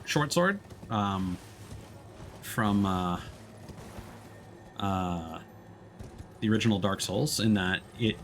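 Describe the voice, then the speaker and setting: A man's voice: mid-range voice, noisy background. A man giving casual live commentary on a video game as he chats with his viewers.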